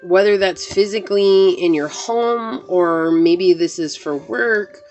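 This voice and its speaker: Woman doing a tarot reading on camera, earnest, reassuring, calls everyone you guys, soft music behind. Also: medium pitch, takes it slowly. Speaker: tarot reader.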